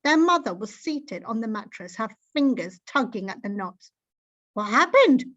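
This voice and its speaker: A woman reading a children's book aloud in an expressive storytelling style, on a slightly dull recording. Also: fairly high pitch, normal speed.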